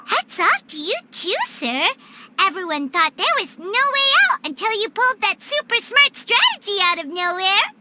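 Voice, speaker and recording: An actress voicing a tiny, chatty, excitable childlike companion creature in a video game. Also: female voice, very high-pitched, normal speed, very noisy. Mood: admiring, chatty.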